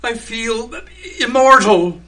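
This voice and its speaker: High-pitched, stubborn, dreamy husband acted by a man. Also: takes it slowly, sharp tone, heavily acted. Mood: exultant. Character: stubborn, dreamy husband turning himself into a tree. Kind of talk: community radio theater acting.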